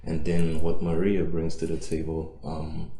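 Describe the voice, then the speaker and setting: A man, a business owner answering interview questions in a halting way with many fillers. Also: very deep voice, monotone, mellow. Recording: echoey room.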